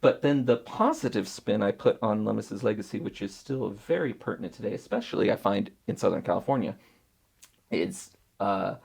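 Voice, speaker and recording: Gravelly, husky-voiced man curator. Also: fairly low pitch, moderate pace. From Mexico. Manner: thoughtful, lively storytelling with laughs. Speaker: museum curator. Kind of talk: casual conversation.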